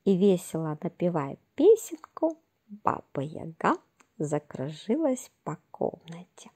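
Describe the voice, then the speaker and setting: A woman telling a bedtime fairy tale gently and soothingly, recorded outdoors in a garden on a camera at a distance. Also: on the low side, sing-song, husky. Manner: gentle, soothing, unhurried storytelling. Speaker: storyteller and author.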